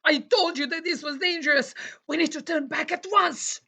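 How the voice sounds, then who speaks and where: A woman's voice: sits high, normal speed, bright, forceful tone. A woman voicing a cautious, bossy teddy bear who scolds and worries in a children's audio play. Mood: fretful. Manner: scolding, worrying, exclaiming.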